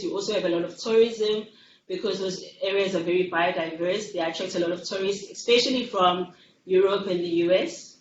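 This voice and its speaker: A woman's voice: lowish voice, a little hiss or hum. A South African woman heard from a distance in a roomy, echoing space. She speaks as an academic presenting research, explaining things plainly. The sound is slightly muffled. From Durban, South Africa. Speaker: university faculty member, researcher.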